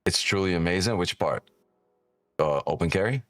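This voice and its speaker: A man's voice: low voice, animated, moderate pace, fairly bright tone, dry recording, soft background music. A man born in Ukraine hosts a live trading stream, chatting casually and with profanity as he reads chat aloud, often tagging on "right?".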